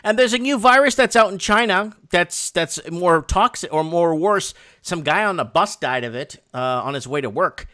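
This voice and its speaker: A man's voice: high-pitched, expressive, normal speed, few pauses, pushed and bright. A man hosting a live night show in a casual, blunt and emphatic way.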